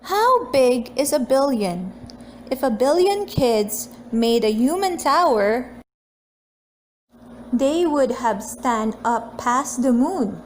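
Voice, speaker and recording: A woman hosting a read-aloud of a children's picture book, reading in a deliberate, expressive way with casual chat. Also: high voice, a little hiss or hum.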